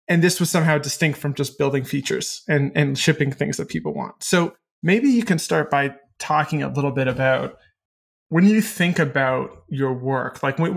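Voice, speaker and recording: A man leads the conversation as host, his voice a little high. He asks questions in a fluent, relaxed, conversational way. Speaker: interviewer host. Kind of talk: conversational interview.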